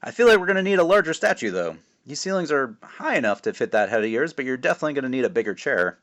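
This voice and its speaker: A loud, playful dad from a children's storybook, acted out by a male reader. He proclaims everything with booming, mock-grand theatricality, his voice raised well up in pitch as he teases his son. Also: sing-song, fast. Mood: boastful, teasing. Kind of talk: expressive children's book read-aloud.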